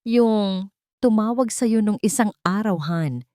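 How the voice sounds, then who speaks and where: A woman's voice: medium pitch, slow pace, warm tone, no room sound, voice pushed up, lightly acted. A concerned older mother-in-law with a Filipino accent, played by a woman narrator, talks tentatively and caringly in a story drama. The recording is dry. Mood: concerned, probing.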